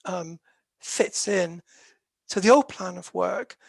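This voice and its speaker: A dispute resolution specialist giving a professional webinar talk in a croaky voice, hesitant and filling pauses with many "um"s as they explain things steadily. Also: medium pitch, no echo. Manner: hesitant, with very frequent "um" fillers; explanatory, steady lecturing delivery.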